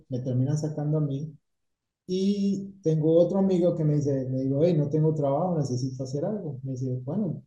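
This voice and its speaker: Colombian guy chatting easy, dark mellow voice, kinda roomy and muffled. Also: fairly high pitch. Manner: relaxed storytelling, mixes English words into Spanish. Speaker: painting company owner, guest. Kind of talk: casual interview chat. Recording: echoey room, dull lo-fi sound.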